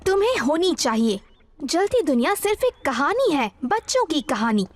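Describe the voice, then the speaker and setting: Very high teasing girl. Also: sing-song, normal speed, no echo. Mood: skeptical. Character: sharp, teasing, skeptical teenage girl teammate. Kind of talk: cartoon dub dialogue. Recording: music behind the voice.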